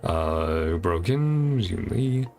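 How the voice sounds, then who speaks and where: A man with a heavily creaky voice streams a game, reading the dialogue aloud. His pitch moves around a lot as he slips between reading and casual muttered commentary. Game music plays behind him. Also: animated, very slow. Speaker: streamer playing and reacting to a game.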